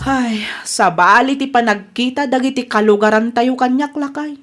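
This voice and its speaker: Lady playing a flustered village wife, high voice, ilocano filipino accent, exclaims a lot, radio comedy. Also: normal speed, over loud music, close to an everyday voice. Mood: sheepish. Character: flustered, blame-casting village wife, later apologetic.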